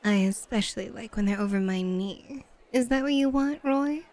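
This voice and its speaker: A woman playing a sly, playful club hostess in a flirty ASMR roleplay, teasing with coy, mock-scandalized, drawn-out phrasing. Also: a little high, a little mellow, lightly acted. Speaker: guest voice actor.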